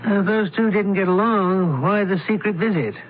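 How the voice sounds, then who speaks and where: A man's voice: sits high, moderate pace, natural voice. A man playing a shrewd, commanding doctor-detective in a radio mystery, speaking in a clipped, imperious way. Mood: dry, commanding. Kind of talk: radio mystery drama acting. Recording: muffled, faintly noisy old radio broadcast.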